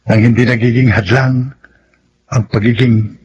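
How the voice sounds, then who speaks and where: A man's voice: mid-range voice, fairly even intonation, unhurried, a little mellow, highs cut off, slight background noise, lightly acted. A man with a Filipino accent playing a remorseful, hopeful ex-convict father in a radio drama, earnest and respectful. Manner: earnest, respectful to his mother. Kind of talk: Tagalog radio drama acting.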